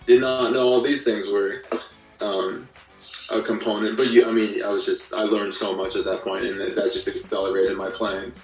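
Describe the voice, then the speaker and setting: Low, even-voiced man in a roomy space. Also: normal speed. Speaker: podcast guest, guitarist. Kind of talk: casual chat, telling own story. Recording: roomy, distant mic, faint music.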